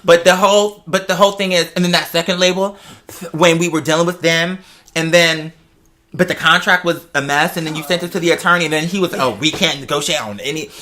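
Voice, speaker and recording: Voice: mid-range voice, fairly even intonation, brisk, sharp tone. An American adult chatting casually and telling personal stories, speaking emphatically and repeating phrases for stress. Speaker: talk show host. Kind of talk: casual personal chat, storytelling.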